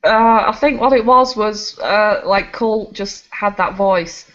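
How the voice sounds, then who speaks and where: A woman co-hosting a casual gaming podcast, chatty and quick to laugh. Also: mid-range voice, fairly bright tone. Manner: chatty, laughs often, restarts sentences. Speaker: podcast co-host. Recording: slightly muffled home recording.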